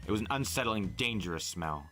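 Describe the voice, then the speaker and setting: A man narrating a first-person novel aloud in an expressive storytelling way. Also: lowish voice, fairly quick. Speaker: podcast host reading a novel aloud. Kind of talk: first-person audiobook-style narration with acted dialogue.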